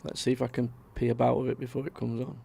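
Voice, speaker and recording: A man's voice: mid-range voice, flat delivery, fast talker, vocal fry, mellow, slightly rough. A man, chatting casually to viewers on a live stream and reading out their comments. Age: 30s. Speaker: livestream host.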